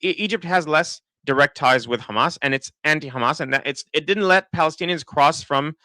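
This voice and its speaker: Israeli man with an American-sounding accent, dry and bright. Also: on the high side, fairly quick, dry recording. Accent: American-sounding English. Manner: thinking aloud, many restarts and fillers. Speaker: political commentator. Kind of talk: live-stream commentary reading chat.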